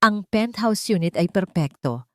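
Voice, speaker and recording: A woman's voice: mid-range voice, expressive, measured pace, a little mellow, no room sound, clean studio sound. A woman narrating a scripted dramatic story in a solemn manner.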